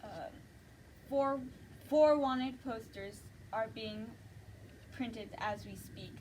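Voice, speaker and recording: A woman's voice: a little high, extremely slow, a little mellow, distant mic, a little hiss or hum. A woman reading a stern, unyielding marshal. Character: stern small-town marshal, unyielding lawman. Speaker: table-read actor. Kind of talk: script table reading.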